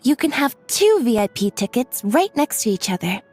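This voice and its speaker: A woman's voice: on the high side, sing-song, moderate pace, darkish tone, breathy edge, faint music behind. An actress playing a playful, coaxing young stage magician woman in a video game, speaking breezily.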